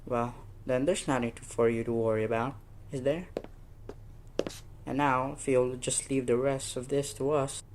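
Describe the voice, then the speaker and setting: Male, actor as dismissive police sergeant in a radio thriller, dark relaxed tone, patronizing, faint noise behind. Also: medium pitch, natural voice. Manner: patronizing, unhurried brush-off. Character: weary, dismissive, patronizing city police desk officer. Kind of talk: old-time radio suspense play acting.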